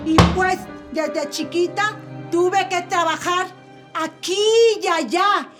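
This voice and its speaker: A woman's voice: very high voice, steady tone, slow pace, fairly bright tone, soft background music, full character voice. A woman playing a sassy adult showgirl defending herself in a comic radio court sketch, with a Mexican Spanish accent. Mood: pleading. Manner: sassy, suggestive pleading.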